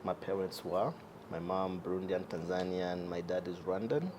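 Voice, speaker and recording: A man's voice: deep voice, steady tone, moderate pace, background noise. A young man as an interview guest, talking casually with many fillers and easy laughs. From Uganda. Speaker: guest, entrepreneur.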